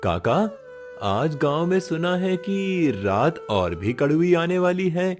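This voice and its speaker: A man's voice: on the high side, big pitch swings, slow pace, a little mellow. A man playing a concerned, admiring young village man in a moral story, speaking earnestly and emphatically. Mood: worried. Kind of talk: Hindi moral story voice acting. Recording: music behind the voice.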